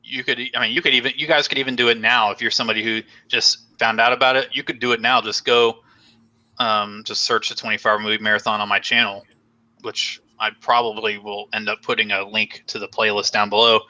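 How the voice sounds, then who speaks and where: Young guy hosting a live stream, bright voice, kinda low, losing his voice, rambles and jokes. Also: little pitch movement, brisk, lo-fi sound. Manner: rambling, filler-heavy, joking. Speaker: host. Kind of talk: casual live stream chat.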